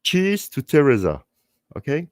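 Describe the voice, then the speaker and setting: A man with a French accent and French as his first language, hosting a live stream in halting English, speaking earnestly and unscripted in short phrases with repeated words. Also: on the high side, wildly swooping pitch, no room sound, clean studio sound. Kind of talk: earnest unscripted talk to online viewers.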